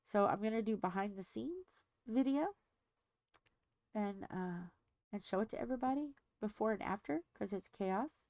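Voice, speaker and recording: A woman's voice: medium pitch, expressive, normal speed, a little mellow, no echo. A middle-aged woman hosting a diamond painting livestream, chatting casually and rambling as she thinks aloud with many fillers, a little stuffy.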